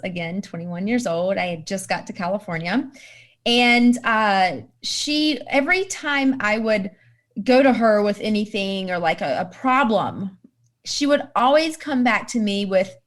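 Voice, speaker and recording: A woman in her thirties answering interview questions in a casual, upbeat way and laughing readily. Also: mid-range voice. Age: 30s. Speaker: guest.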